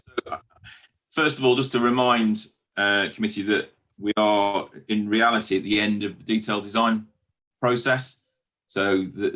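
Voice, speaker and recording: Very deep-voiced, flat British man. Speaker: council officer presenting to a committee. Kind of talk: formal explanatory meeting talk.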